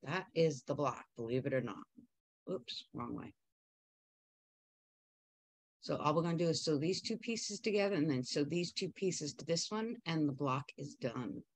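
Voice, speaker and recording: A woman's voice: sits low, lively intonation, moderate pace, pauses often. A woman teaching a quilting technique in a chatty, upbeat way, wandering into asides while she sews. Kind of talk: casual sewing tutorial.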